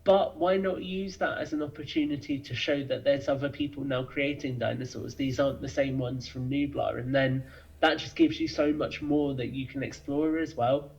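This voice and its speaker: A man's voice: medium pitch, steady tone, moderate pace, darkish tone, lo-fi sound. A man chatting as a podcast guest over a remote connection, explaining his thoughts fluently. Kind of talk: casual interview chat.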